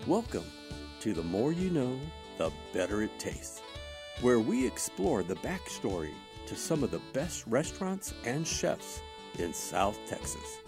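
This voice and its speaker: A man's voice: mid-range voice, huge pitch swings, measured pace. A man announcing a food podcast's scripted intro, in an announcer's delivery. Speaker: show announcer. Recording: intro over guitar music.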